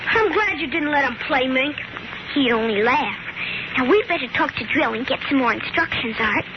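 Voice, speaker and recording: An eager, bossy American girl of eight speaks in a piping, very high voice that leaps about. It is an old radio play, muffled under heavy noise. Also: lively intonation, moderate pace. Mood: bossy, busy. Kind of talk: 1950s radio acting. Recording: noisy, dull old radio recording.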